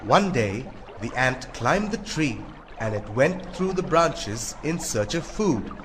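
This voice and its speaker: A man's voice: mid-range voice, very animated, takes it slowly, background noise. A man narrating a scripted fable for children.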